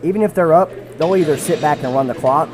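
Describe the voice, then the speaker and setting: A man's voice: on the high side, fast talker, noisy background. A man giving casual live commentary on an airsoft match, repeating himself and restarting phrases as he talks. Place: small side room near the field. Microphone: shared desk mic.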